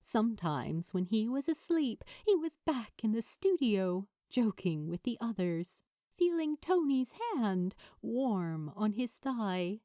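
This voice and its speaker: A woman reading a story as an audiobook narrator, with dramatic, deliberate phrasing and pauses. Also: on the high side, sing-song, warm tone, no room sound, studio quality. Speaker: narrator of fan fiction.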